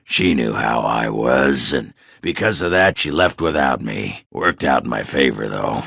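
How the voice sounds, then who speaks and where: A hard-drinking dwarf warrior from a fantasy video game. The voice is rock-bottom deep and full of gravel, with a forceful edge. He talks bluntly and crudely, grunting and cursing like a seasoned berserker. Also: animated, unhurried, no echo, studio quality, heavily acted. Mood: rueful, brooding. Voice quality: gravelly, raspy. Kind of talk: video game character acting.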